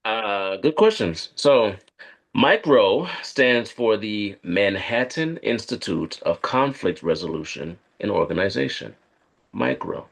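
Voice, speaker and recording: A man acting a guarded corporate operative on a date in a conversational script read, speaking in a halting, evasive way. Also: lowish voice, expressive, gravelly, heavily acted. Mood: evasive, explanatory. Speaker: actor at a live script read. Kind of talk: conversational screenplay table read.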